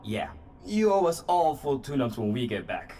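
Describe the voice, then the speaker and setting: Freche Roboterkameradin, extrem bewegte Tonhöhe. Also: female voice, extremely low pitch, very animated, moderate pace, warm tone, slight background noise. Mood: teasing. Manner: casual, teasing. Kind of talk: video game cutscene acting.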